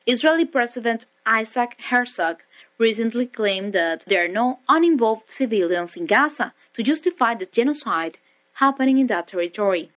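A woman's voice: on the high side, animated, normal speed, no echo. A woman reading scripted international news as a radio newsreader. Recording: dull, band-limited shortwave radio audio.

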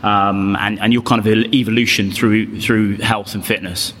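A man's voice: on the low side, flat delivery, normal speed, a bit bright. Hesitant male podcast host, echoey. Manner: hesitant, many fillers and repeated words. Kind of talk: podcast interview. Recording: long reverb, faint music.

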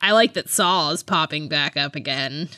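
A woman's voice: low voice, normal speed, sharp tone, no room sound, studio quality. A woman co-hosting a podcast in casual chat, with a giggly, self-deprecating way of talking. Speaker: podcast co-host.

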